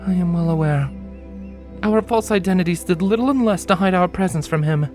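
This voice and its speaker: A woman playing a wary ex-soldier pony, speaking in a formal, commanding, measured way in a dramatic story reading. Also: fairly low pitch, darkish tone, faint music behind, natural voice. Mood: serious. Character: stern, wary female pony, ex-soldier fugitive. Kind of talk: dramatic audiobook acting.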